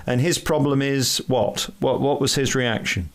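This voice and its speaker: An outspoken British man hosts a radio interview. His pitch is mid-range for a man. He talks conversationally and puts firm emphasis on his points. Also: a little slow. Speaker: interview host.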